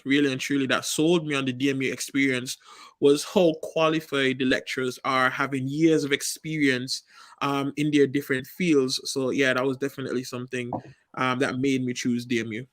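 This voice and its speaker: A man from Saint Kitts and Nevis, a PhD student, chatting in a lively, expressive way and laughing easily. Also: mid-range voice, moderate pace, dry recording. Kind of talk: casual panel chat.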